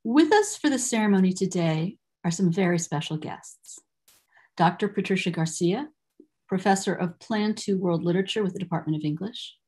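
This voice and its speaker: An older woman delivering a formal, prepared commencement address in measured, deliberate phrases, speaking as the presiding academic on a video call. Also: on the low side, expressive, darkish tone, breathy edge. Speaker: university program director presiding over a ceremony.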